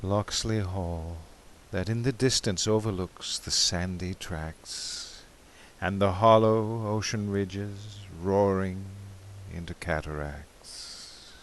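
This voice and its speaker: A man giving a dramatic poetry recitation in a measured, theatrical, expressive way, with drawn-out phrases. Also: low voice, a little hiss or hum. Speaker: poetry reader.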